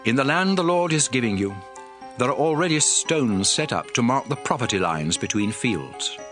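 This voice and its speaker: An actor playing a solemn, commanding lawgiver in a dramatized audio Bible, speaking in an emphatic, oratorical way. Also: male voice, mid-range voice, sing-song, normal speed, close to an everyday voice. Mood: stern, commanding. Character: solemn, commanding male lawgiver addressing his people. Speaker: scripture narrator playing a prophet and lawgiver. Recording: music bed under the voice. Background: music.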